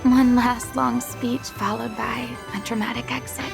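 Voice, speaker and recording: A woman's voice: on the high side, little pitch movement, a little slow, gravelly, loud music behind the voice. An actress playing an earnest, composed young female defender in a video game cutscene, speaking seriously and frankly. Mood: wry, somber.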